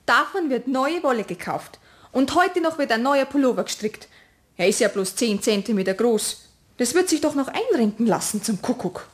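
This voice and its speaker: A woman's voice: on the high side, animated, natural voice. A woman playing a strict, conscientious mother in a children's radio play, with a Bavarian accent and a firm manner. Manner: scolding, brisk, firm.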